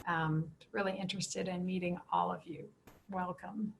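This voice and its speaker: An older woman from Canada, a professor and program director introducing herself on a video call, speaking earnestly and in measured lists. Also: fairly low pitch, steady tone, creaky, a little hiss or hum. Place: home room, plain wall. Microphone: headset mic.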